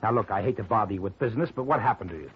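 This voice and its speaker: A man acting a brisk, business-minded insurance company man, brusque and urgent, in a 1950s radio drama. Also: lowish voice, expressive, natural voice. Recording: muffled, hissy 1950 radio recording.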